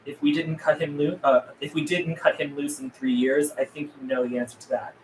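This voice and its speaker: A man's voice: on the high side, little pitch movement, brisk, close to an everyday voice. A man reading the part of a guarded, earnest zombie apocalypse survivor at a script table read, terse in manner. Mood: stern. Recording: roomy, distant mic, faint noise.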